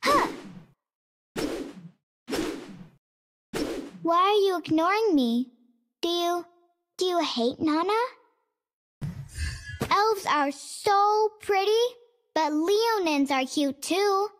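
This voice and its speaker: A woman's voice: extremely high pitch, lively intonation, slow pace, pauses often, full character voice. A woman voicing a cute, playful little catlike girl in a video game, with a sweet, childlike, pleading manner. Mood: hurt, pleading, then cheerful, playful. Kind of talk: video game character voice lines. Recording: loud game music behind the voice.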